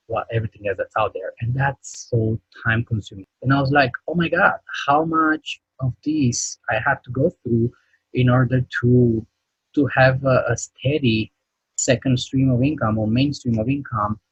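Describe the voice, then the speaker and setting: A man's voice: medium pitch, husky, dry recording, dull audio. A man from Romania with a Romanian accent gives a business presentation, hesitant and full of fillers and restarts. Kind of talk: recorded sales pitch.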